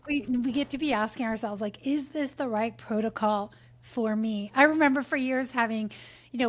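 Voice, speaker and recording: High-voiced enthusiastic woman host. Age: 40s. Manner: enthusiastic, affirming, laughs often. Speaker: podcast host. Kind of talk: casual interview chat.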